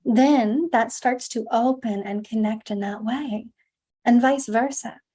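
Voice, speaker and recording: A woman's voice: fairly high pitch, takes it slowly, dark tone, breathy edge. A woman in an interview, talking in a flowing, emphatic way, often restarting words and adding "like". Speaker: guest, women's embodiment teacher. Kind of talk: conversational interview.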